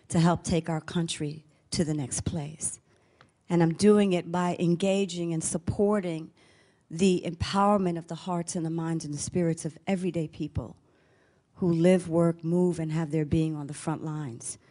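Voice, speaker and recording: A woman giving an inspirational conference talk, measured and rhythmic, stacking words in cadenced lists. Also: low voice, steady tone, warm tone. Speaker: conference speaker.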